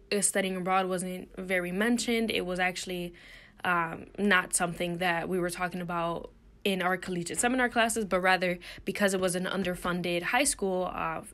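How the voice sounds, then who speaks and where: A woman's voice: lowish voice, a bit bright. Young woman presenting scholarship slides. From Puerto Rico. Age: young adult. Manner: earnest, explanatory, frequent "um" and "uh" fillers. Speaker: student presenter.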